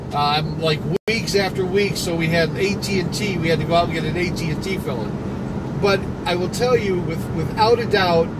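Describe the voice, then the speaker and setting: A man from Michigan hosting a casual live stream while he drives, talking in a rambling, conversational way full of restarts. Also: high-pitched. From Michigan, USA. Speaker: livestream host. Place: inside a car, driving. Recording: noisy car recording with road noise.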